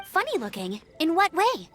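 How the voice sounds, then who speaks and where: Eager young knight scout girl in a game, bright-eyed n earnest, high voice, music behind. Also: huge pitch swings, moderate pace, full character voice. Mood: curious, concerned. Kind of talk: video game voice acting.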